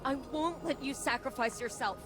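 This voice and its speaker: A woman's voice: sits high, moderate pace, loud music behind the voice, close to an everyday voice. An actress playing a young woman who pleads emotionally with a doomed machine friend. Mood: pleading. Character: young woman, anguished and protective of the AI.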